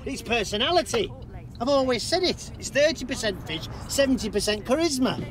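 Self-important fishmonger, middle-aged, high voice, swooping delivery, inside a car. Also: male voice, expressive, fairly quick. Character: boastful middle-aged male fishmonger, touchy.

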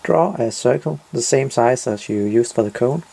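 Man with a creaky voice giving instructions. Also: medium pitch, animated, moderate pace, mellow. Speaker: DIY tutorial presenter. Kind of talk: step-by-step instructional narration.

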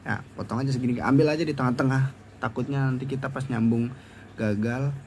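A man's voice: mid-range voice, fairly even intonation, fairly quick, darkish tone, a little hiss or hum. A young man with a Jakarta accent giving a casual, unscripted how-to in informal slangy talk. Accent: Jakarta Indonesian. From Indonesia. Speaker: motovlogger giving a DIY tutorial. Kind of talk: casual unscripted tutorial chat.

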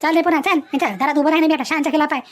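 A woman's voice: high voice, steady tone, racing, close to an everyday voice. A woman playing a groom's domineering middle-aged mother in a cartoon family drama, haranguing with contempt in a Varhadi Marathi accent. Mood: scornful, outraged. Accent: Varhadi Marathi, Vidarbha.

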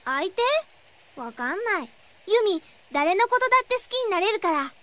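Very high-pitched, pouty anime schoolgirl. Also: sing-song, normal speed, a bit bright, exaggerated voice. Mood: wistful. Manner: girlish, childlike, petulant. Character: impetuous teenage schoolgirl, pouty, eager for romance. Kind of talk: anime radio drama acting.

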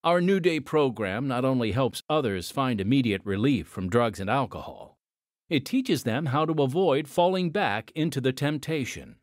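A man announces from a script on the radio. His intonation is lively and wide-ranging, captured close and dry in a studio. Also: medium pitch, moderate pace, dry recording. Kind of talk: scripted radio narration and ministry message.

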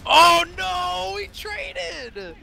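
A man's voice: very high voice, big pitch swings, drawn-out words, creaky, a crowd in the background. A man chatting casually and swearing as he reacts to a video game. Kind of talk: casual gaming banter. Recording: faint game noise behind.

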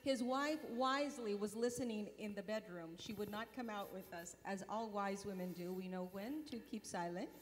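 A middle-aged woman on a convention stage telling a mission testimony into a handheld mic, animated and gesturing. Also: mid-range voice, normal speed, hardly any pauses. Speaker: mission volunteer and board member. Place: large convention hall stage. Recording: long hall reverb.

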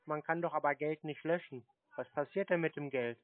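A man's voice: a little high, brisk, no echo. A man on an improvised prank phone call, asking short, repetitive questions in a feigned naive, needling manner. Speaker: prankster who baits phone scammers. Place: telephone call. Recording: thin dry phone-like sound.

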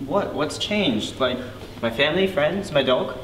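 A young man delivers exasperated, sarcastic lines in an amateur short film. His voice moves through a wide, lively pitch range, sitting slightly high for a man. The echoey school hallway adds reverb and a faint hiss. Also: a little slow. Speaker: student actor.

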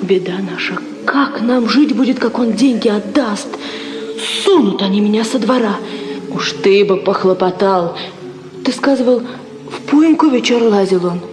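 An actress playing a frightened, anxious peasant wife in a 1950s Soviet radio drama, lamenting in a way of speaking. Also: female voice, medium pitch, unhurried, noisy background, lightly acted. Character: fretful, frightened Russian peasant wife plotting against her husband.

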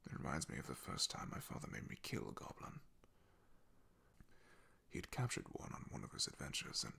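A man playing a solemn, haunted, devoted knight in an ASMR fantasy roleplay, speaking haltingly in a husky, gravelly half-whisper. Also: rumbling low, wildly swooping pitch, exaggerated voice. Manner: hesitant, halting, confiding in hushed tones. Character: loyal male knight, solemn, haunted, devoted to his princess.

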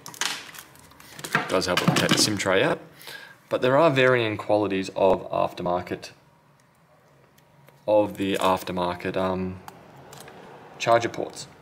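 A man's voice: deep voice, slow pace, long pauses, background noise. A man talking casually and matter-of-factly through a hands-on repair tutorial, step by step, as a technician working at a bench. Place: indoor repair workbench.

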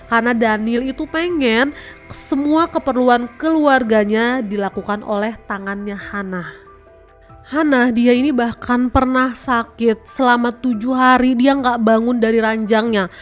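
Young woman, chatty storytelling, fairly high voice, soft music behind. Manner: chatty, addresses viewers as guys. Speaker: host. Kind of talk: casual storytelling commentary. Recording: faint background music.

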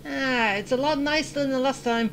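A woman's voice: high voice, moderate pace, a little hiss or hum. A woman giving casual commentary as she plays through a game story, reacting aloud. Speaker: gamer playing through a story.

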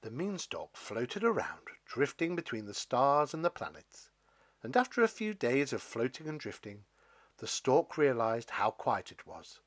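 Very animated, unhurried male storybook reader. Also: medium pitch. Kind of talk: expressive children's story narration.

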